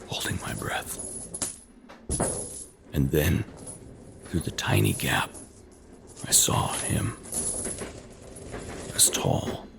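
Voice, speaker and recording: A man narrating a scripted first-person horror tale in short, halting phrases with an ominous, dramatic manner. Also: extremely low pitch, creaky, background noise.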